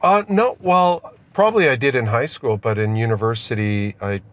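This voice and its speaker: A man's voice: mid-range voice, moderate pace. A middle-aged man, a company executive, answering questions in casual conversation during an interview inside a car. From born in Austria, immigrant to Canada. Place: inside a car being driven. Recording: noisy moving vehicle interior.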